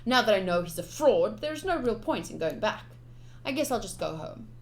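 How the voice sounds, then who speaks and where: A woman streamer playfully reading visual novel text aloud, with casual asides in an expressive delivery. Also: mid-range voice, normal speed, a little hiss or hum. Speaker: game streamer. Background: game music.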